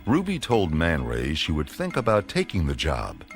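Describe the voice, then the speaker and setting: A man's voice: very deep voice, wildly swooping pitch, moderate pace, full character voice. A man playing a mysterious storyteller, narrating a radio play in a theatrical, suspenseful style. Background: music.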